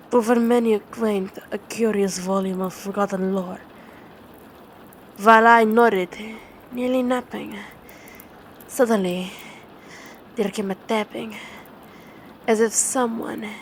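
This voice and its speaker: Slow, soothing vampire girlfriend, played by a woman. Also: medium pitch. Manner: slow, halting, soothing delivery with repeated words. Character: dominant female vampire girlfriend, tender, reading gothic poetry at bedtime. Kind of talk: ASMR roleplay and bedtime poetry reading. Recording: clearly noisy ambient background.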